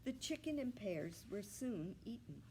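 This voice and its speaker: A woman's voice: mid-range voice, big pitch swings, a little slow, warm tone, a little hiss or hum. A woman reading a children's storybook aloud as a storyteller. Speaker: library staff storyteller.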